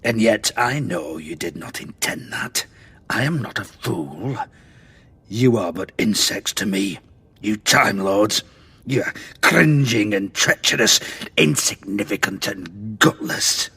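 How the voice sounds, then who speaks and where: An actor playing a menacing, fanatical alien warlord in an audio drama, with a gravelly, pompous, threatening delivery. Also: male voice, fairly low pitch, lively intonation, takes it slowly, pushed and bright, exaggerated voice. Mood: haughty, zealous. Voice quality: gravelly, rasping.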